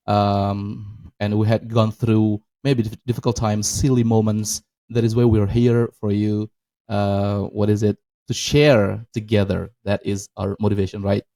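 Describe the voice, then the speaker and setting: Mellow indonesian guy cohosting a chill english podcast, indonesian first language. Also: on the low side. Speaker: podcast co-host. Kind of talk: casual chat.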